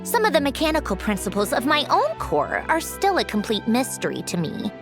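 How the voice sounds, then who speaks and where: A woman's voice: on the high side, huge pitch swings, fairly quick, over music. A woman voicing a proud, sardonic female puppet inventor in a video game cutscene, explaining things in a dry, cool, slightly haughty way. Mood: bitter.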